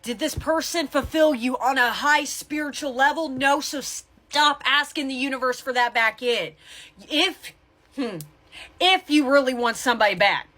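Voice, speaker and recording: A woman's voice: high-pitched, normal speed, pushed and bright. A woman giving motivational spiritual advice as a tarot reader, in a blunt, emphatic tough-love manner with casual swearing.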